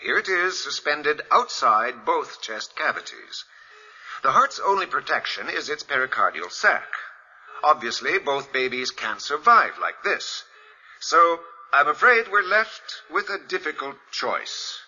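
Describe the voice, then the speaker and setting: A man's voice: fairly high pitch, big pitch swings, unhurried, sharp tone, slight background noise, full character voice. A man playing a grave surgeon lecturing medical students in a radio drama, clinical and declamatory. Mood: grave, resolute. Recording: muffled old radio recording, music behind.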